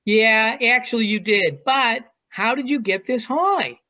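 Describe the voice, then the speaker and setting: A woman acting as a teasing, worldly adult seagull in an amateur virtual one-act play, with drawn-out delivery. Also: fairly high pitch, sharp tone. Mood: encouraging. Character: teasing, worldly adult seagull perched high above the city. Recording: dull lo-fi video call audio.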